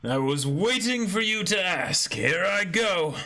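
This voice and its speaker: A man voicing a gentle, sentimental living skeleton who is a pirate musician in a manga reading. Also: high-pitched, animated, unhurried, heavily acted. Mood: eager.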